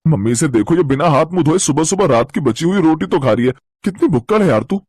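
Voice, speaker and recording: Cartoon spoiled city boy, whiny braggart adult male performer; husky, swinging pitch, dry sound. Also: lively intonation, fast, a little mellow, no room sound. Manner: bratty, complaining. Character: greedy, whiny, boastful chubby city boy.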